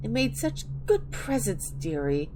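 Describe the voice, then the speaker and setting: A woman voicing an eerie, wistful old granny witch who rambles slowly in a dramatic reading of video game lore. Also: medium pitch, big pitch swings, noisy background. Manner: slow, wistful rambling with drawn-out endearments.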